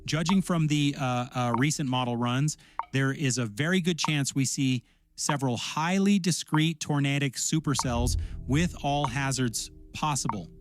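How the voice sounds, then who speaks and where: A man's voice: mid-range voice, moderate pace. Male storm forecaster talking over music. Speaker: weather forecaster. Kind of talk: technical forecast discussion. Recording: music clearly behind the voice.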